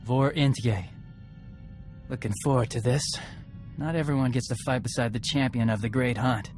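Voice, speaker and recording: A man's voice: medium pitch, fairly even intonation, normal speed, darkish tone, lightly acted. A man playing an earnest, loyal young warrior in a video game, speaking in a terse, respectful way. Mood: resolute. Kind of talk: video game cutscene acting. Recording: music behind the voice.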